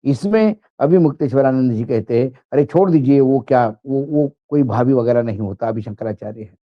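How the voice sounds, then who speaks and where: A man's voice: mid-range voice, fairly quick, highs cut off. A man presenting political commentary to viewers in an emphatic, rhetorical way.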